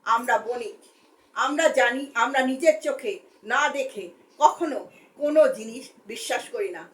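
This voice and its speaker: Woman voicing a stern, wise merchant leader, high-pitched. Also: unhurried, lightly acted. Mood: angry, defiant. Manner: firm, admonishing, questioning. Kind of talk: storybook character voicing.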